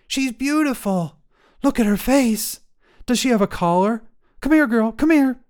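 Storybook role: gushing young lady dog-lover, played by a man, high-pitched, delighted, sing-song cooing. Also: expressive, measured pace, darkish tone, clear, resonant tone. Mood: excited cooing. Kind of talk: storybook character voice in audio fiction.

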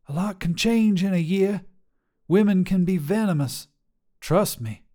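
A man's voice: sits high, unhurried, mellow, pitched above the performer's own voice. A man voicing a wiry, mocking woman trucker who turns out to be a demon, with a drawling, teasing, folksy manner. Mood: sly teasing.

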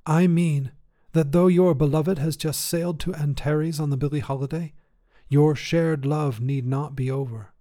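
Man voicing a serene, mellow ancient woman commander. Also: on the high side, fairly even intonation, natural voice. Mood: serene, wistful. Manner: measured, formal, gently wistful. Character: poised two-hundred-year-old woman space station commander, serene. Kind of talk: acted dialogue in science fiction story reading.

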